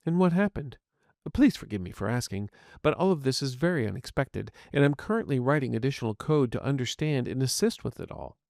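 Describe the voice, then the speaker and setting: A man's voice: mid-range voice, animated, a little fast, gravelly, a little mellow, dry recording, clean studio sound. A man voicing a polite, curious artificial intelligence medical agent in an audio story, speaking in formal, precise and courteous wording. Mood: polite, inquiring. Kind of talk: scripted audio fiction dialogue.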